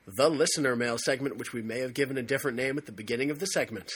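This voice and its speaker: A man's voice: mid-range voice, rapid-fire, fairly bright tone, slightly rough. A man co-hosting a podcast, riffing wryly in casual comic banter. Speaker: podcast co-host.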